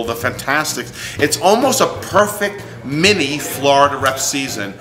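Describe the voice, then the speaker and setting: A man in his fifties giving an enthusiastic, emphatic promotional talk to camera as a theater's artistic director. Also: on the high side. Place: indoor theater space under renovation. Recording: echoey room, loud construction noise behind. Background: loud construction noise.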